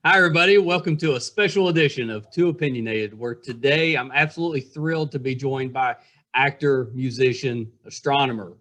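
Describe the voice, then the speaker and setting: A man's voice: mid-range voice, fairly quick, a bit bright. A man hosting a casual interview podcast, speaking in an effusive, admiring way to his guest.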